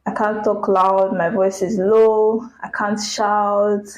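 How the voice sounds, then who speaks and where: A soft-spoken woman with a Nigerian accent, hosting a casual solo podcast and chatting candidly, speeding up when she tells stories. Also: mid-range voice, little pitch movement, takes it slowly. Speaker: podcast host. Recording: roomy echo.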